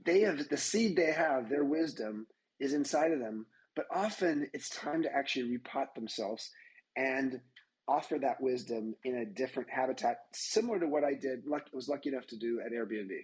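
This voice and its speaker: A man in a casual conversation, calmly explaining ideas in a reflective way. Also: mid-range voice, moderate pace, low-quality recording.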